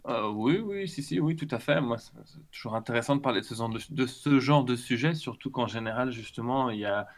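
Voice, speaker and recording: Halting male academic guest, middle-aged. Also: medium pitch. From Belgium. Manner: halting, repeats words while thinking. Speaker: interview guest, academic author. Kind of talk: conversational interview answers. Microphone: remote internet call.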